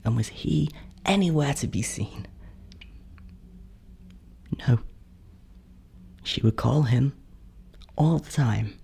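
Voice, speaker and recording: Bitter sibling ghost , played by an actor for horror audio drama: hushed, mellow and creaky, brooding, yearning. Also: mid-range voice, exaggerated voice. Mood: resentful, hushed. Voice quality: breathy, often near whisper, creaky. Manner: slow, halting, brooding.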